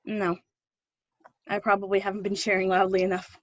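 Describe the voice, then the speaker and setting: A woman's voice: mid-range voice, flat delivery, moderate pace, no room sound, dull audio, close to an everyday voice. A woman acting an earnest, pushy drama teacher with faded dreams, encouraging and wistful in a play readthrough. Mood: insistent, supportive.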